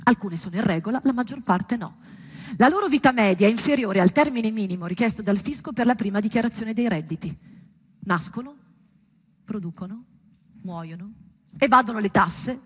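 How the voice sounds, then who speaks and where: A woman performing a scripted theatrical monologue, storytelling with deliberate pauses and emphatic, dramatic delivery. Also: medium pitch, expressive, slightly rough. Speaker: stage performer.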